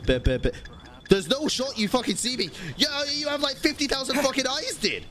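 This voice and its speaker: A young man reacting live as he plays a video game on stream, excitable, slangy and sweary, with game music behind him. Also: very high voice, fairly quick. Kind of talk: live gameplay reaction commentary.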